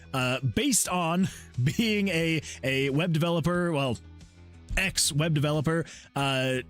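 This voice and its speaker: Chatty man with a higher voice, over soft music. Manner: effusive, rambling, repeats himself. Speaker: indie game developer streaming. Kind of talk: casual livestream chat.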